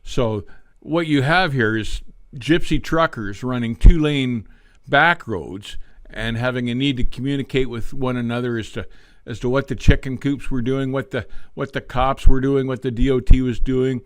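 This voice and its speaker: Older male, livestream host with trucker background. Medium pitch, folksy reminiscing delivery, unrushed, very little room sound. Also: steady tone, normal speed, no echo. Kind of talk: casual reminiscing talk on a live stream.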